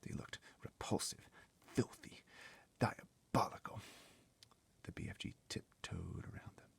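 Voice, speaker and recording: A man's voice: lowish voice, a little slow, creaky, slightly rough. A man reading a children's story aloud as dramatic narration, theatrical and suspenseful, often dropping to a whisper. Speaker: storybook reader.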